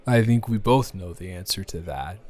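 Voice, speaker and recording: A man playing a smitten young man in an improvised romantic audio roleplay, speaking to his casual lover in a hesitant, earnest, halting way. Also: deep voice, lively intonation, warm tone. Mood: hopeful, gentle.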